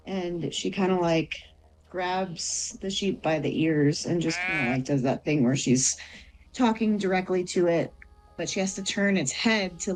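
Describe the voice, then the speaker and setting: Slightly low-voiced woman improvising roleplay. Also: a little mellow, rough recording. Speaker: player. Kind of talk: improvised roleplay narration, casual.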